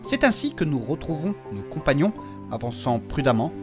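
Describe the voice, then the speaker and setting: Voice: medium pitch, very animated, normal speed. A narrator delivering dramatic scripted story narration in a solemn, theatrical manner. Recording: voice over prominent music. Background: music.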